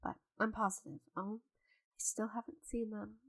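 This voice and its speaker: A woman playing a friendly, chatty, slightly scatterbrained forest witch in an ASMR roleplay audio drama, with a gentle, theatrical manner. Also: medium pitch, little pitch movement, measured pace, no room sound, poor audio. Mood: nervous feigned innocence.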